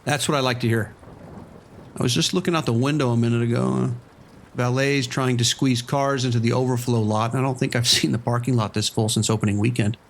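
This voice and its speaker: An easygoing male casino boss, played by an actor in a radio drama. His voice sits at a medium pitch. He is watchful and supportive, speaking casually and in a measured way. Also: fairly even intonation, slight background noise, lightly acted. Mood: relaxed. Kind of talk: scripted horror audio drama radio exchange.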